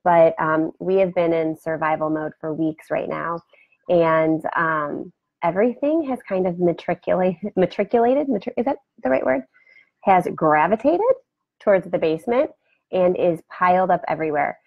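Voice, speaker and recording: A woman hosting a casual live video chat from her home, rambling warmly and greeting viewers by name, her voice scratchy today. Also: on the low side, normal speed, creaky, dry recording, narrow, tinny audio. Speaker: host. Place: home room beside a turtle aquarium. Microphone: webcam for a live video.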